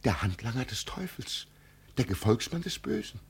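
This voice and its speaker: An actor in a 1950s German radio play playing a mad old father who believes himself an emperor, pleading and solemn in a husky, creaky voice. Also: medium pitch, big pitch swings, moderate pace, heavily acted. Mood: urgent warning. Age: older. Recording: vintage 1950 radio recording.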